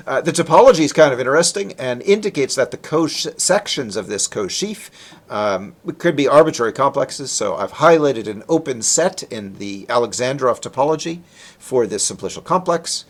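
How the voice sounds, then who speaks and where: Lively man giving a technical lecture. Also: medium pitch. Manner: conversational, poses questions and answers them. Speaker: lecturer, researcher presenting. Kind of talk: informal technical lecture with slides.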